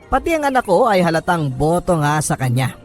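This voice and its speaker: A Filipino man reading a first-person story as a radio host, in a measured, sentimental dramatic narration with pauses. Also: fairly high pitch, very animated. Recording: music bed under the voice. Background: background music.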